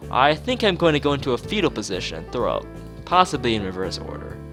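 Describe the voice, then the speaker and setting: A man's voice: medium pitch, big pitch swings, quick pace, music in the background. A man live streaming, mixing joking, mock-formal commentary with reading story text aloud from a game. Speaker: streamer playing a visual novel.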